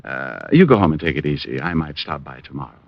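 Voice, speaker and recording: An actor playing a tough, wisecracking private detective in a vintage radio crime drama. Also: male voice, a bass voice, lively intonation, quick pace, no room sound. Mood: relaxed. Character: wry, tough, quick-witted private detective. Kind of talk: 1940s-50s radio detective drama acting. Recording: dull old radio broadcast recording.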